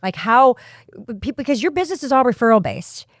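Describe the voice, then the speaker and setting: A woman's voice: fairly high pitch, big pitch swings, a little fast, no room sound, studio quality. A woman who is a real estate agent, hosting a casual podcast interview and asking long, encouraging questions.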